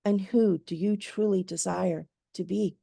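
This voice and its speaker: A woman voicing a gentle, wise female goddess spirit who whispers softly, in a guided meditation. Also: medium pitch, steady tone, unhurried, vocal fry, husky, dry recording, clean studio sound, heavily acted. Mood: tender. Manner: soft, whispering.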